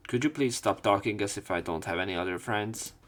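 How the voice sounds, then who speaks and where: A man with a low voice narrates a visual novel for a let's play. His delivery is casual and offhand, moving between story reading and his own commentary, with faint music behind him. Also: fairly quick.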